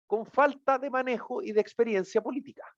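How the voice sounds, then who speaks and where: Chilean radio host, high voice. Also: male voice, a little fast, no echo, highs cut off. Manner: joking, colloquial, interrupts others. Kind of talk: radio panel discussion.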